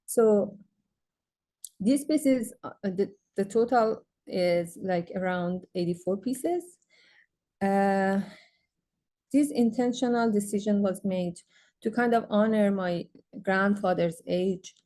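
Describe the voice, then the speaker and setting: A young woman with a Persian accent presents her art at a symposium, speaking slowly in a mellow, dark tone. She reads from prepared text but often stumbles into fillers and restarts. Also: mid-range voice. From Iran. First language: Farsi. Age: young adult. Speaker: graduate student artist presenting.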